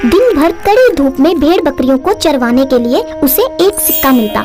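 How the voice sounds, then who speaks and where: A woman's voice: high voice, expressive, moderate pace, over music. A woman narrator reading a scripted moral story for children.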